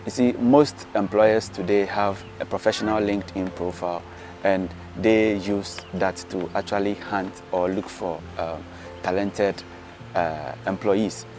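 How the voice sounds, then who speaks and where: A deep, low-pitched man speaks in Ghanaian-accented English, steady and explanatory, with frequent hesitant "uh" and "um" fillers. He sounds like he is talking casually to camera outdoors. Clear music plays underneath his voice. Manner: measured, explanatory, frequent "uh" and "um" pauses. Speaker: vlogger, science teacher. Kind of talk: casual advice vlog. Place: outdoors in an apartment garden, later seated.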